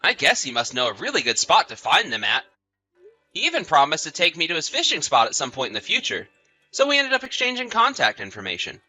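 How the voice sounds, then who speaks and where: A man's voice: fairly high pitch, huge pitch swings, brisk, bright, forceful tone, faint music behind, full character voice, low-quality recording. A man playing an easygoing, sociable husband in chatty, casual audio drama dialogue. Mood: easygoing, animated. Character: easygoing husband, sociable, placating, mildly defensive.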